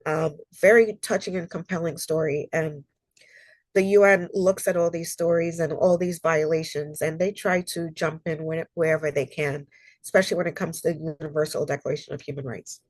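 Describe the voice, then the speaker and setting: A woman with a lowish voice presents over a video call, sounding slightly nasal as she gets over a cold. Her talk is earnest and conversational, dotted with ums. The sound is dry and close, with no room echo. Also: fairly even intonation, normal speed, darkish tone. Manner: earnest, conversational, with frequent ums and personal stories. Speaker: human rights consultant and presenter. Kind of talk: online library presentation.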